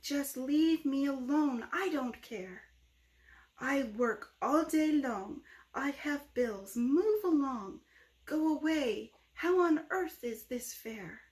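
A woman's voice: high-pitched, lively intonation, takes it slowly, darkish tone. A woman voicing an angry homeowner in a rhyming storybook tale, speaking in a harsh, scolding way. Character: angry grumpy homeowner turning away a stranger.

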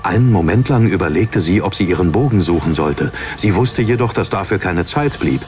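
A man's voice: sits low, animated, a little fast, darkish tone. A man reading a fantasy story as a scripted, dramatic audiobook narration. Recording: narration over background music.

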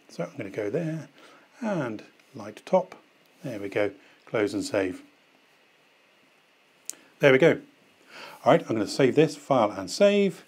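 A man, presenting a screen-recorded software tutorial and walking through each step as he clicks, recorded in a studio on a lapel mic. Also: fairly low pitch, breathy edge. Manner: relaxed step-by-step, thinking aloud while clicking. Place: studio set with desk and laptop.

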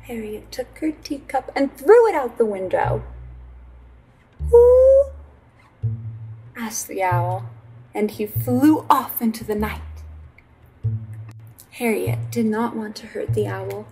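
A young adult woman reading a picture book aloud as a storyteller, with a gentle, deliberate delivery. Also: on the high side, lively intonation, slight background noise, low-quality recording. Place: small indoor room with fabric backdrop.

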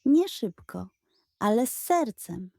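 A woman narrating a scripted children's audiobook in a gentle, expressive storytelling manner. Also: a little high, slow pace, creaky, no room sound, clean studio sound.

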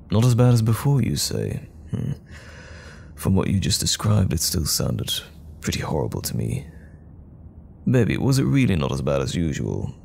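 A man acting as a tender, worried boyfriend in an intimate audio roleplay, speaking gently and soothingly to comfort a troubled partner at night. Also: deep voice, gravelly, slight background noise, full character voice. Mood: gentle concern. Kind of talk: intimate roleplay audio drama, soft bedside talk.

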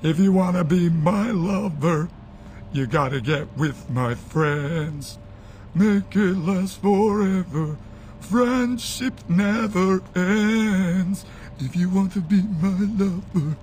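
A man's voice: high-pitched, a little mellow, slight background noise. An actor playing a creepy, childlike, oafish policeman henchman in a horror game. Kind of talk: horror video game acting.

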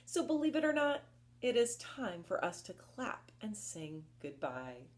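Slow-paced woman leading children's story time. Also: medium pitch. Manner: expressive, encouraging, addressing young children. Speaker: library story time presenter. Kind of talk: children's read-aloud and instruction. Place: small furnished room at home, indoors.

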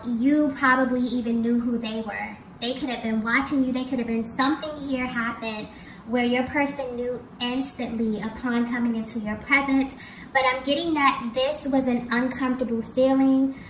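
A woman giving an intuitive tarot reading straight to the listener, earnest, in halting phrases with frequent check-ins. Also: high-pitched, a bit bright. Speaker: tarot reader. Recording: roomy, distant mic, clear background noise.

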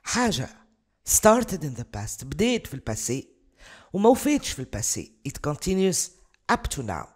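A woman's voice: deep voice, sing-song. Tunisian lady teacher, arabic native, walks thru examples patiently, very up and down voice. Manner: explains patiently, repeats examples, bursts of fast speech. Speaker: English teacher. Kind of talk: exam revision lesson over slides.

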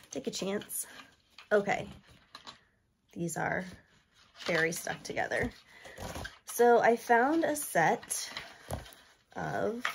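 A woman's voice: mid-range voice, creaky, slight background noise. A woman in her thirties, stuffy from allergies, chatting eagerly to camera about thrift finds with lots of ums. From New York State. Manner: chatty, enthusiastic, many ums. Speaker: thrift haul vlogger. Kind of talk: casual chat to camera. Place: indoor room with toy shelves.

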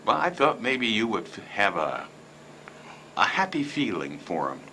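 An older man from Ohio asks calm, gentle questions as co-host. His voice sits low but moves in a lively way, with a bright edge. Faint noise sits behind him. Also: unhurried. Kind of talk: casual chat. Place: small room indoors.

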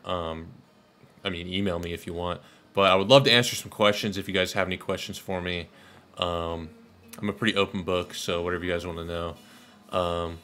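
A young man from Dallas, Texas, a videographer, talking casually to camera in a monotone, rambling way with lots of ums. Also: low voice. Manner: laid-back, rambling with many ums. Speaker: videographer and channel host. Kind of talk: casual thank-you vlog to camera. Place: small pink-lit bedroom, indoors.

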